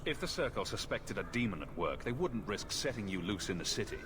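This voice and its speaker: A man's voice: fairly low pitch, sing-song, brisk, background noise. An actor playing a direct, sardonic male adventurer in a fantasy game. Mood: stern, questioning. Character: wry, blunt male fantasy adventurer hero. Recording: game audio with music behind.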